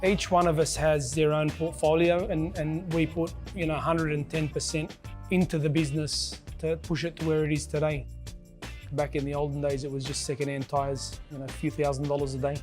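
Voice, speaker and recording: Male business manager, interview testimonial, halting delivery, flat intonation, music bed underneath. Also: on the high side. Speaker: general manager of a tyre business. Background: background music.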